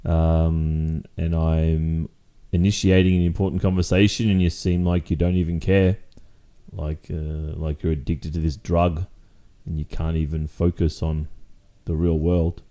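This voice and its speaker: A man with an Australian accent playing a jealous, fed-up young boyfriend confronting his girlfriend in an intimate ASMR roleplay, speaking in a halting, conversational way. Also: very deep voice, little pitch movement, dark tone. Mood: tense, hesitant.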